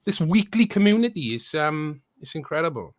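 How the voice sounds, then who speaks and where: A man's voice: fairly high pitch, sing-song, normal speed. A man hosting a podcast, chatting casually in a rambling way with many restarts. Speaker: podcast host. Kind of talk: casual chat.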